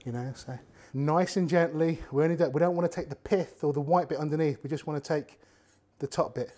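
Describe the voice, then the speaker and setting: A man's voice: on the high side, fairly quick. Chatty man cooking in a kitchen. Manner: chatty, relaxed, talks while working with his hands. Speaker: cooking show host. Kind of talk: casual cooking demonstration to camera. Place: home kitchen, indoors.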